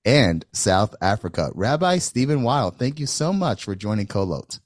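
A man with a fairly low voice hosts the interview, recorded with a dry, close sound. He circles around his questions, restarting and filling pauses as he goes. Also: big pitch swings, no room sound. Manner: rambling questions with many fillers and restarts. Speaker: interview host. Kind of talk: hosting an interview.

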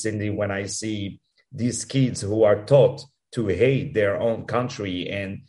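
A man with a French accent, a guest answering interview questions in a halting, effusive way with many restarts. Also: on the low side. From France. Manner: halting, repeats words, warm and effusive. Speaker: musical producer guest.

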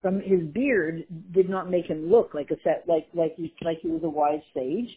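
Woman giving a torah class, kinda breathy, sounds like it's coming thru a phone, keeps hunting for words. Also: deep voice, normal speed, narrow, tinny audio. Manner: lively, mixes Hebrew and Yiddish terms, searches for words. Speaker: Torah teacher. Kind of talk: Torah class explaining a text.

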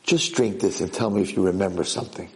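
A man's voice: deep voice, fast, darkish tone, natural voice. A man voicing a loyal old male servant in a told story, urging and coaxing in short, insistent phrases. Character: urgent, loyal old male servant rescuing a lost prince.

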